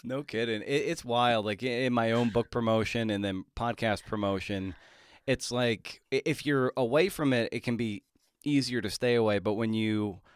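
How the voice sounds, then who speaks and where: A man hosting a casual interview, circling into his questions with halting restarts. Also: medium pitch, smooth, dry recording. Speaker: podcast host, interviewer.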